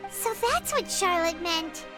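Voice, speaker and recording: Squeaky, childlike little fairy companion. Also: female voice, lively intonation, slow pace, over music, exaggerated voice. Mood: curious. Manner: squeaky, inquisitive, earnest. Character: tiny cheerful female fairy companion, childlike, curious. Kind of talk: video game voice acting.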